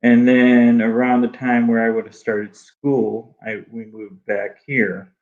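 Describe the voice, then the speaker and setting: A man whose first language is Arabic, speaking haltingly with many restarts as a guest in a casual interview. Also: mid-range voice, flat delivery, phone-quality sound. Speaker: guest artist.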